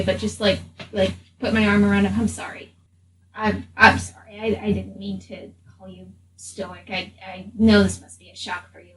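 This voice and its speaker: A woman playing a tabletop game, roleplaying in a casual, conversational way. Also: medium pitch. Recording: roomy distant mic, faint hiss.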